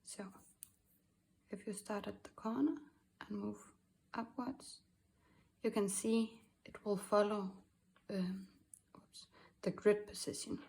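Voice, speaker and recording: Soft-spoken Danish woman, slow and gravelly. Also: mid-range voice, fairly even intonation, low-quality recording. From Denmark. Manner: halting, many fillers, soft asides. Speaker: art tutorial host. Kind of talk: screen-recorded drawing tutorial.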